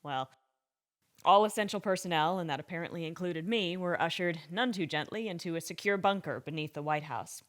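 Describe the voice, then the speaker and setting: A woman playing a wry, candid government scientist giving conversational interview testimony with deliberate pauses in an audio drama. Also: on the low side, close to an everyday voice. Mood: sombre, recollecting.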